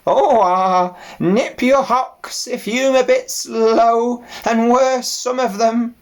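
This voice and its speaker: A man voicing a mournful old female sheep with a slow, drawling dialect, in an audiobook. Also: very high voice, steady tone, voice pushed up. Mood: grumbling. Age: older. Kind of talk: audiobook character voice.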